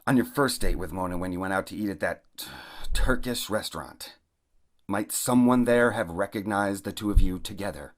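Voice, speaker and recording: A man's voice: low voice, normal speed, natural voice. A man acting as a corrupt, commanding billionaire mayor and protective father in an audio drama, speaking curtly. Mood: stern. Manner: curt, commanding. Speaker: actor in an audio play.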